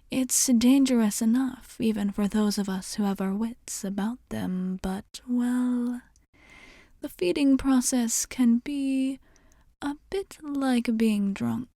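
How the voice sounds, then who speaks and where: A woman playing a courteous, soothing vampire bartender in an ASMR roleplay, speaking softly and formally, often dropping to a whisper. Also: on the high side, creaky, no room sound, clean studio sound, exaggerated voice. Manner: formal, measured, gently reassuring.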